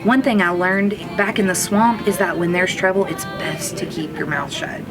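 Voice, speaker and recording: A woman reading a children's storybook aloud like a teacher, expressive, drawing out words for emphasis. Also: fairly low pitch, moderate pace, low-quality recording. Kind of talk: children's storybook read-aloud, first-person narration. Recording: music under the voice. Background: background music.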